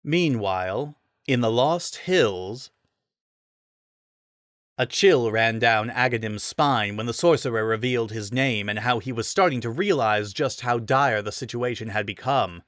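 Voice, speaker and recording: Steady male storyteller, dry close sound. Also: medium pitch, measured pace, dry recording. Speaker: narrator. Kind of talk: dramatic fanfiction reading.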